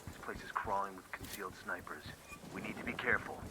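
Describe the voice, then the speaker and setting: An actor playing a steady, terse special forces soldier. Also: male voice, low voice, quick pace, natural voice. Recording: game audio with loud background noise.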